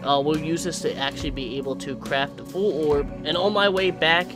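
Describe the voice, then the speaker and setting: Young man, game commentary, somewhat high voice, jokey, game music underneath. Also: moderate pace. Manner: jokey, excitable, swears and shouts. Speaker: gameplay commentator. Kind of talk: gameplay commentary.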